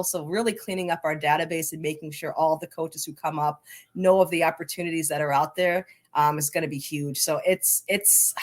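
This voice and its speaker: A woman speaking as an interview guest, answering conversationally in an enthusiastic, encouraging manner. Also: fairly low pitch. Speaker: interview guest, coaches' association leader.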